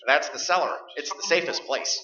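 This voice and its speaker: A man's voice: on the high side, normal speed, crowd noise, close to an everyday voice. A male actor in a staged radio play, playing a stubborn, frightened middle-aged husband who argues in an agitated, stammering way. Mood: defensive. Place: live stage performance. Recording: dull, echoey live stage recording.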